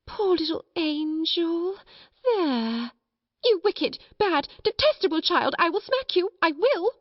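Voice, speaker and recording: A woman's voice: very high voice, lively intonation, slow, no echo, muffled recording, pitched above the performer's own voice, exaggerated voice. A woman voicing a flustered plump woman in a storybook, exclaiming as she helplessly coaxes and scolds. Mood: coaxing, exasperated. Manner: exclaiming, swinging between coaxing and threats.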